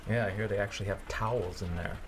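A man's voice: on the low side, steady tone, quick pace, lightly acted. An actor playing a sarcastic, flippant male office worker in a modern audio drama. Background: office ambience, keyboard typing.

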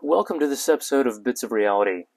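A man in his twenties, born in Ukraine, hosting his own podcast and telling his life story in a measured, earnest monologue with light hesitations. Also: mid-range voice, animated, a little mellow. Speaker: podcast host. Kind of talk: personal testimony podcast monologue.

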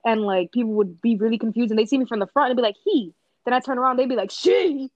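A young woman on a casual podcast, telling excitable stories full of slang. Her voice sits a little high. The sound is close and dry. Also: fast, no room sound, dull audio. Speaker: podcast co-host. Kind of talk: casual chat.

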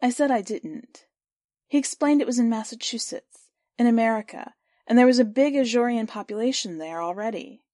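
A woman's voice: a little high, warm tone, no echo. Woman reading a literary story, dry close sound. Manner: measured, clear first-person storytelling. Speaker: podcast story reader. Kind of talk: scripted narration of literary fiction.

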